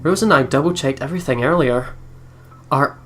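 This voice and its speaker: A woman's voice: low-pitched, normal speed, fairly bright tone, faint music behind. A woman hosting a let's play, commenting casually and reactively, with some swearing. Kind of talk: casual gaming commentary with read-aloud narration.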